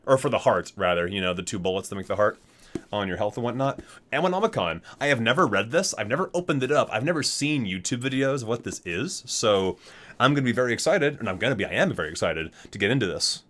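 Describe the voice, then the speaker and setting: A man's voice: medium pitch, lively intonation, quick pace, fairly bright tone, slight background noise. A man hosting an ASMR unboxing, chatting casually and reading aloud, rambling, correcting himself and marveling at small details. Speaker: video host, gaming fan.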